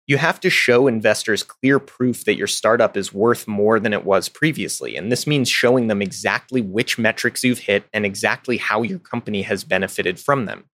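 A man reading scripted narration for a business podcast in a polished, even delivery. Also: lowish voice, normal speed, hardly any pauses, no echo.

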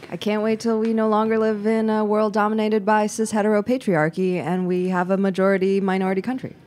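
A woman's voice: medium pitch, level tone, brisk, a little mellow. A woman co-hosting a casual food talk show, upbeat and chatty. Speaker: podcast co-host. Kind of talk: casual chat.

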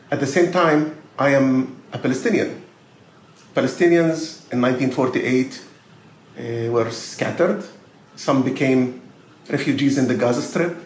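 An Arabic-speaking man from Israel, a podcast guest who talks thoughtfully and haltingly with many fillers. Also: medium pitch, echoey room, slight background noise, poor audio. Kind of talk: podcast interview.

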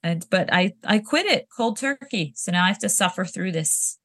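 A woman's voice: mid-range voice, animated, normal speed, a bit bright, dry recording, clean studio sound. A woman co-hosting a podcast with a stuffy nose, chatting casually and laughing easily. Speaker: podcast co-host. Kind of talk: casual chat.

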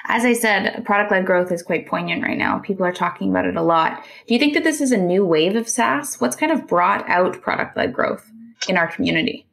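A woman hosting a business podcast, reading a smooth scripted intro and then interviewing a guest. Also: mid-range voice, animated, fairly quick, a bit bright. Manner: upbeat, smooth scripted delivery. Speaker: podcast host.

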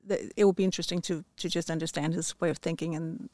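A woman's voice: deep voice, steady tone, quick pace, darkish tone, no room sound. A woman who heads a company department, talking as a podcast guest in a casual, thoughtful way with frequent restarts.

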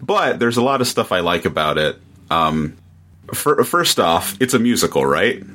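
Male podcast guest, deep low voice, casual reviewing, measured and halting delivery. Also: big pitch swings, bright, forceful tone.

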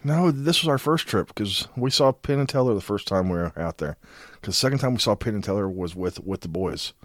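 A man's voice: on the low side, fairly quick, no echo, studio quality. A middle-aged man co-hosting a casual chat, telling profane, jokey stories and throwing himself into the voices of the people he quotes. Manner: animated, over-the-top storyteller with big voiced quotes. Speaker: podcast co-host.

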